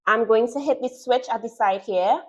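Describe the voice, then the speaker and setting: A woman's voice: on the high side, moderate pace, dull audio. A woman with a Trinidadian accent, a sewing teacher chatting through an unboxing and explaining each part step by step. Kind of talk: product unboxing and tutorial.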